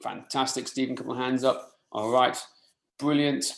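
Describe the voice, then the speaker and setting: Coach, roomy echoing sound. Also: male voice, medium pitch, steady tone, normal speed, vocal fry, fairly bright tone. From rural mid Wales. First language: Welsh. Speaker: fitness coach presenting a webinar. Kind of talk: informal live online presentation. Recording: roomy, echoing live webinar audio.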